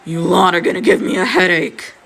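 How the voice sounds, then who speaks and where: A woman voicing an angry, foul-mouthed teenage boy with a gruff, combative manner, as in an audiobook drama. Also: fairly low pitch, fairly quick, a bit bright, soft background music, poor audio. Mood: irritated.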